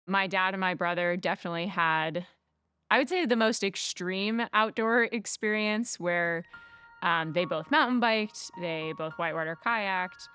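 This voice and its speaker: Clear, lower-pitched young woman. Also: unhurried, bright, forceful tone, dry recording, faint music behind. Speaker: interviewee, daughter. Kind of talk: reflective documentary interview.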